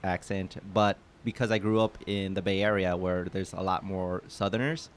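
Low-pitched guy rambling on a podcast, laughs at his own stuff, faint hiss behind. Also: steady tone, normal speed. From San Jose, California. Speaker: podcast co-host. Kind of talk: casual chat.

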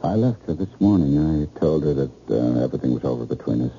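A man playing a guilt-ridden, haunted husband in an old-time radio drama, halting, repetitive and defeated in his speech. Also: very deep voice, lively intonation, creaky, dark tone, breathy edge, heavily acted. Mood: despairing, remorseful. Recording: dull, hissy old radio recording.

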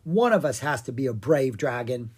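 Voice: mid-range voice, lively intonation, moderate pace, darkish tone, breathy edge. A storybook reader voicing a cheeky, hungry young boy dragon. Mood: teasing, bold. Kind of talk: animated children's storybook character reading.

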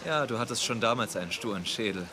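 A man's voice: medium pitch, lively intonation, fast talker, noisy background, close to an everyday voice. An actor playing a blunt, loyal young man, a protective older brother, in dramatic dialogue. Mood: dry, blunt. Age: young adult. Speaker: actor in an audio drama or dubbed series. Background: loud music.

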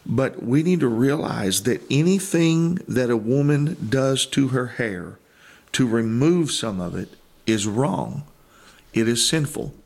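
A man, a holiness preacher, teaching on a Bible passage and explaining word meanings in a deliberate way. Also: medium pitch, lively intonation, gravelly, a little mellow. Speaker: holiness preacher on another podcast. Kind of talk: teaching scripture on a podcast.

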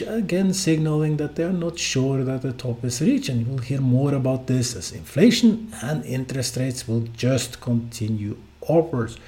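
A man from Norway with a Norwegian accent, hosting a solo podcast of financial market commentary in halting, filler-heavy phrasing. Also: medium pitch, dark tone. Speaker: podcast host.

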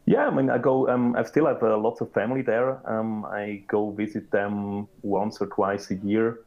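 A man from Switzerland, a guest on the podcast, answering interview questions in a measured way with some fillers. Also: lowish voice, fairly even intonation, highs cut off. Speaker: guest, product manager.

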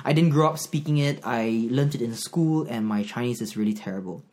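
A man's voice: medium pitch, a little fast, darkish tone. A man from Singapore with a Singaporean accent, a Bible teacher talking earnestly as a podcast guest and laughing easily. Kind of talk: podcast conversation.

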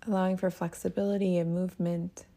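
A woman leading a guided meditation as a teacher, speaking in a gentle, soothing manner with breathy phrase endings. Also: on the low side, flat delivery, fast talker.

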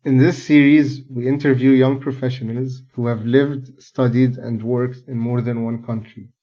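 A young man hosting a podcast interview in a relaxed, playful, unhurried way. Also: medium pitch. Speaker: podcast host. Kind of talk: casual interview. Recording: dull lo-fi audio with faint music.

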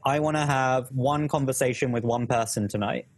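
A young man shares advice in an unhurried, thoughtful way on a live stream. His voice sits at a mid pitch, and he gently teases the others in between. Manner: teasing, measured, thoughtful. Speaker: guest on live Q&A. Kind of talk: casual live-stream chat.

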